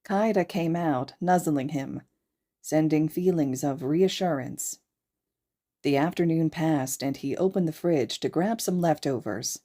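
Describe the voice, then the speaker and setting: A woman's voice: deep voice, unhurried, mellow. A woman reading a story aloud as a podfic narrator, in scripted narration. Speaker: podfic reader and host.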